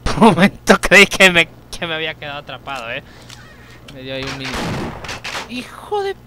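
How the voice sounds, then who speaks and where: Young male let's player with a lively, animated voice that jumps up when things go wrong; chatty, swears at the game, faint noise behind. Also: fairly high pitch, brisk. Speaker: let's play gamer. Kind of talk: casual gameplay commentary.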